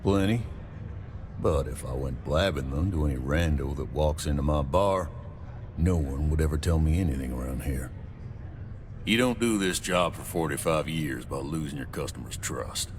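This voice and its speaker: An extremely low-voiced older barman from a sci-fi game, gruff and guarded. He talks in plain, folksy working-class phrases. There is clear ambient noise behind him. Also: moderate pace, darkish tone. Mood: guarded, frank. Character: gruff veteran barman in a Martian mining town, guarded. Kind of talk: video game voice acting.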